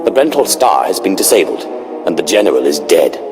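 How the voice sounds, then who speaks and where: A man's voice: low voice, sing-song, fairly quick, music in the background. A man acting a cold, ruthless Sith in a video game, curt, imperious and menacing. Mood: curt pride. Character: cold, ruthless male Sith player hero. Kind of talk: scripted video game dialogue.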